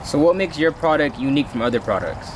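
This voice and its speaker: A man's voice: a little high, lively intonation, quick pace. A male interviewer asking short, direct questions. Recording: noisy background.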